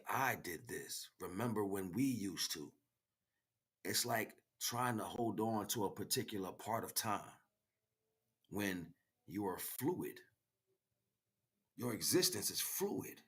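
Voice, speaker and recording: A man's voice: on the low side, breathy edge. A man giving an impromptu spiritual monologue as a teacher, speaking directly to the listener and repeating phrases for emphasis.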